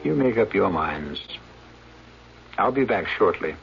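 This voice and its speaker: A man hosting a radio suspense anthology, narrating in a measured, theatrical, ominous manner. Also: low-pitched, animated, gravelly, slight background noise. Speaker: radio drama host and narrator. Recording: muffled radio broadcast with music behind. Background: music.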